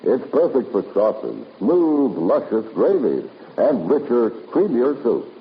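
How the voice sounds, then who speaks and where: 50s radio ad guy, emphatic and expressive, medium pitch, old dull sounding broadcast. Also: takes it slowly. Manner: polished, emphatic sales delivery. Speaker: radio announcer. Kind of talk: 1950s radio commercial announcing. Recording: muffled vintage radio recording with noise.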